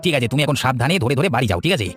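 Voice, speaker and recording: Cartoon neighbour male actor: easygoing villager, questioning, rattles along at high speed, medium pitch. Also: big pitch swings, rapid-fire, music in the background, lightly acted. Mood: curious, obliging. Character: easygoing village man, sceptical but obliging neighbour. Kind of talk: Bengali cartoon story voice acting.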